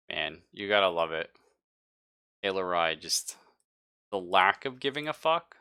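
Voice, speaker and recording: A man's voice: deep voice, sing-song, slow, sharp tone, smooth. A man narrating as game master of a tabletop roleplaying game, thinking aloud casually and hesitantly. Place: live online stream.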